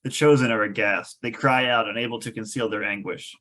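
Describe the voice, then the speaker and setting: A man's voice: lowish voice, fairly even intonation, a little fast, pushed and bright. A man reading stage directions aloud as narrator of a scripted table read.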